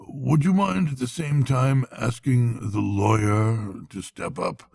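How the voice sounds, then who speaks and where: A man voicing a languid storybook toad, feeble and murmuring, playing the mournful martyr as if gravely ill. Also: medium pitch, lively intonation, slow, a little mellow, voice pushed up, full character voice. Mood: sad, sly. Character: languid, self-pitying male toad feigning grave illness.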